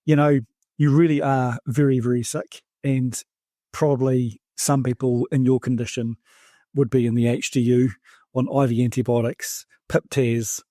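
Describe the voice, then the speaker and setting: Guy w a mellow voice doing deadpan jokes on a podcast. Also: mid-range voice, normal speed, dry recording. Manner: dry, deadpan teasing. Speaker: oncologist co-host. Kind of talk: casual chat.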